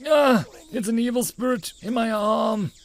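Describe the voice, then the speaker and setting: A man's voice: very high-pitched, unhurried, voice pushed up. A man voicing a self-important, delusional young mad scientist in an anime visual novel, bossy and melodramatic. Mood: melodramatic panic.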